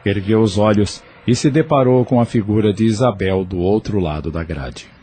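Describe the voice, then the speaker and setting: A man with a Brazilian Portuguese accent narrating an audio drama in a measured, expressive storyteller's manner. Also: lowish voice, breathy edge.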